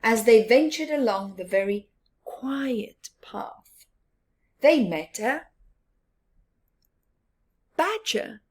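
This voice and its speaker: A woman reading a picture book aloud to young children, expressively, drawing out words and dropping into hushed tones. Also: fairly high pitch, animated. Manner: drawn-out words, drops into hushed whispers. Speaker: children's storyteller.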